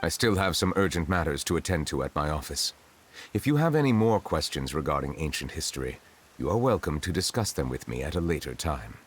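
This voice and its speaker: Game casting: stately dragon ruler, very deep bass, formal, reserved, grave and courteous. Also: male voice, lively intonation, fairly quick, a little hiss or hum. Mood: formal, explanatory. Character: dignified male water dragon sovereign in human guise, solemn. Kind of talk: English video game voice acting. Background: game music.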